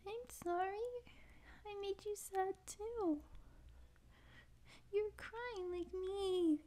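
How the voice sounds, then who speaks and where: A young woman acting a naive, pouty stray catgirl in a soft, halting ASMR roleplay voice. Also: very high voice, a little hiss or hum, exaggerated voice. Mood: apologetic, tearful. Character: naive, tearful stray catgirl would-be space pirate. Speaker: ASMR voice actor. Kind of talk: ASMR roleplay audio drama.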